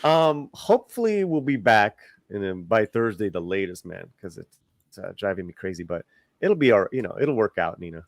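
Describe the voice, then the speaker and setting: A man's voice: lowish voice, big pitch swings, normal speed, dry recording, studio quality. A man chatting casually as the host of an online channel. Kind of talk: casual chat.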